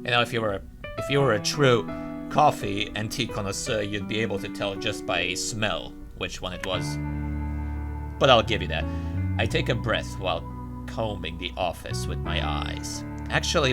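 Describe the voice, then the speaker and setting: Male let's play host, low and expressive, casual delivery, music underneath. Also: moderate pace. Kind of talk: casual gaming commentary and reading aloud. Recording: music behind the voice. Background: game's guitar music.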